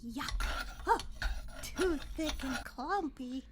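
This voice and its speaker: A woman voicing a young, clumsy and dramatic girl in a children's picture book read-aloud, expressive and full of exclamation. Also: high voice, extremely slow, background noise, heavily acted. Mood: disgusted.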